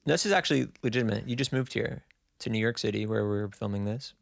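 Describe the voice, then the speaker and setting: A man in his twenties co-hosting a casual podcast, teasing in a deadpan way and repeating jokes. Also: on the low side, fast, vocal fry, no room sound, clean studio sound. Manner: deadpan, teasing, repetitive joking. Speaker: podcast co-host. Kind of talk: casual chat. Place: small apartment room, indoors. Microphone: handheld dynamic mic, close.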